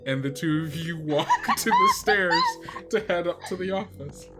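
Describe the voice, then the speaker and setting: A man's voice: on the high side, wildly swooping pitch, moderate pace. A man as game master, improvising scene narration for a tabletop game, with music behind him.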